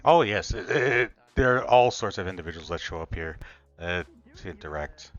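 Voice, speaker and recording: A man's voice: deep voice, expressive, normal speed, fairly bright tone, lo-fi sound. A man playing a polite, protective fantasy character in improvised roleplay chat. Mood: explanatory.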